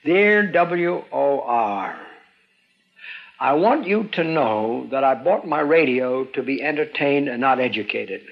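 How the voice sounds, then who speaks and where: An older man with a creaky voice, a radio engineer looking back on his working life. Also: mid-range voice, animated, slow pace. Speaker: pioneer radio engineer recalling his career. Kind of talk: reminiscing. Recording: dull, noisy archival radio interview.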